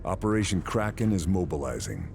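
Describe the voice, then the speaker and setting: A very deep male voice over faint noise. a stoic World War II sniper agent, narrating his mission in a grave, understated way, like a cinematic war game cutscene. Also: very animated, a little fast, creaky, darkish tone, exaggerated voice. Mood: grave, resolute. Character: grim, stoic American sniper agent in WWII.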